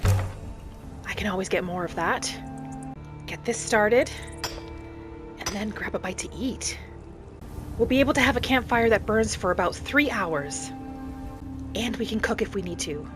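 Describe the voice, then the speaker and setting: A woman plays a gentle wood elf wanderer in a cozy fantasy game. She muses in the first person and asks questions with soft curiosity. Light music sits behind her. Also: mid-range voice, expressive, unhurried, pauses often, natural voice. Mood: cozy musing. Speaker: gamer narrating a roleplay as her character. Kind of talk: first-person in-character roleplay narration.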